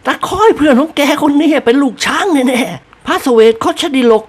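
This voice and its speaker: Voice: sits high, expressive, moderate pace, voice pushed up. ผู้อ่านดัดเสียงเป็น เจ้าคุณสูงวัยผู้สุขุม เสียงสูงขึ้น. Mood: stern. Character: dignified elderly nobleman, stern but amused.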